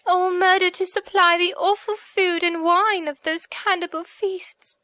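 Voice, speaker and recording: A storybook princess held captive, acted by a woman, with a very high, youthful voice. She speaks in formal, earnest phrases, sorrowful and pleading. The sound is dry and close. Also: sharp tone, no echo, exaggerated voice. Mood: anguished. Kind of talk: audiobook character voice.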